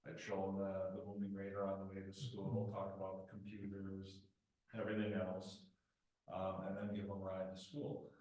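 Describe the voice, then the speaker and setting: Loud-voiced marshal, far off in an echoey room. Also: male voice, low voice, monotone. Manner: plain, halting, many uh fillers. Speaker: village marshal. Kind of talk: report at a committee meeting. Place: village committee meeting room.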